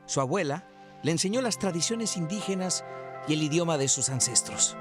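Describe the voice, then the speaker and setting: A man narrating a TV news report in a clear, measured scripted style. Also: fairly high pitch, animated, music in the background.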